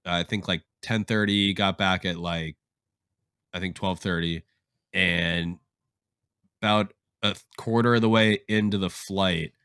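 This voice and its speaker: A man's voice: low voice, fairly even intonation, measured pace, a bit bright, clear, resonant tone, dry recording, clean studio sound. A young man co-hosting a podcast, telling stories in a loose, slangy, profane way while getting over covid. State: recovering from covid. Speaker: podcast co-host. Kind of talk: casual podcast chat.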